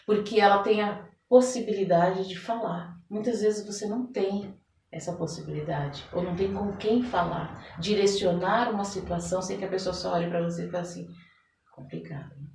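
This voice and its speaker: A woman's voice: medium pitch, fairly even intonation, normal speed, vocal fry, a little mellow, slight background noise. A Brazilian woman talking as an interview guest, explaining her coaching work conversationally and often checking for agreement. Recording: roomy echo, distant from the mic.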